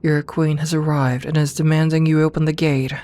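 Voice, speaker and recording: A woman playing an imperious serpent queen in an ASMR audio roleplay, speaking formally and with measured dignity, commanding yet tender toward her companion. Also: sits low, flat delivery, mellow, lightly acted. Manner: regal, formal, measured speech. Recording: ambient noise behind the voice.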